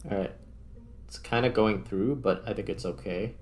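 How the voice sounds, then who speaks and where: A man with a lowish voice gives casual gaming commentary over clear background music. He thinks aloud in an informal way, half muttering to himself as he plays. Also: normal speed, darkish tone. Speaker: gaming video host, player.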